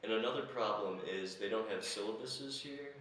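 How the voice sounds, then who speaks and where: A young American man with an American accent and a very low voice, talking in a relaxed way with easy laughs. He is heard from a distance in a very echoey room, with faint noise behind. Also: normal speed. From United States. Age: young adult. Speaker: interviewee.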